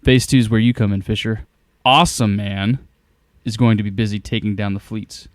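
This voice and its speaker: An actor playing a determined, clever inventor hero in an audio drama, explaining plans in an earnest, matter-of-fact way. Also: male voice, fairly low pitch, fairly even intonation, a little fast, noisy background, lightly acted. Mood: resolute, commanding. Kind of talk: scripted audio drama acting.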